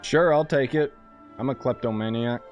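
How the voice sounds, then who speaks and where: A man's voice: mid-range voice, moderate pace, lo-fi sound. A young man giving casual, slangy live gameplay commentary, with a joking, sarcastic manner. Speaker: game streamer. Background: faint game music.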